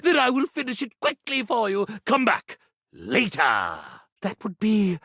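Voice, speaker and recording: A man's voice: high-pitched, huge pitch swings, measured pace, bright, forceful tone, dry recording, exaggerated voice. A vain, flamboyant male stage magician for a video game. His delivery swoops extravagantly up and down with grandiose showmanship. The sound is close and dry, like a studio take. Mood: eager. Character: vain, theatrical male stage magician, a living clothes iron. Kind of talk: theatrical video game character acting.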